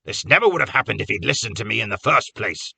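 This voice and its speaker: A man voicing a quarrelsome, sharp-tongued old village man who scolds the people around him. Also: fairly low pitch, expressive, fast, vocal fry, husky, dry recording, exaggerated voice. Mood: grumbling.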